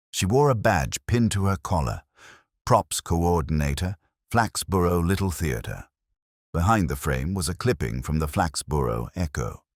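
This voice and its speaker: A man with an extremely low voice narrates a scripted mystery. His pitch moves expressively through the sentences, and the recording is dry, with little room sound. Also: dry recording. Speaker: audiobook narrator.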